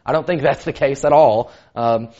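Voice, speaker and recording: A man giving a sermon in a loud, carrying voice, casual and conversational with lots of "kind of". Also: medium pitch, normal speed. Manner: loud voice that carries; conversational, many fillers like "kind of". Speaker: preacher. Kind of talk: sermon teaching. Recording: dull lo-fi recording.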